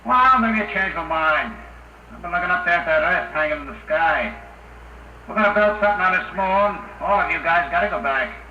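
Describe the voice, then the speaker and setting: A man's voice: sits high, lively intonation, brisk, sharp tone, heavily acted. An actor playing a brash, slangy, wisecracking spaceman in a 1950s radio drama, breezy and stubborn. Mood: stubborn resolve. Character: brash, wisecracking working-class spaceman, stubbornly self-sacrificing. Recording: noisy, roomy, muffled old radio.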